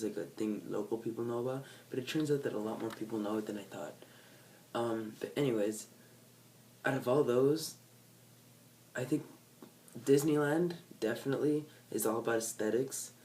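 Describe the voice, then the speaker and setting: Nasal teen dude rambling to his camera, lowish voice, sits back from the mic with faint noise behind. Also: warm tone, distant mic. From California. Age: teens. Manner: rambling, self-correcting, laid-back. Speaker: vlogger. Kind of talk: casual unplanned chat to camera. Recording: faint background ambience, set back from the mic.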